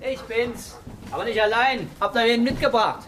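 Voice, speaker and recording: A man's voice: extremely high pitch, moderate pace, fairly bright tone, slight background noise. An actor playing a patient, slightly overwhelmed village policeman who explains things simply and colloquially in a radio drama. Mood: bemused, patient.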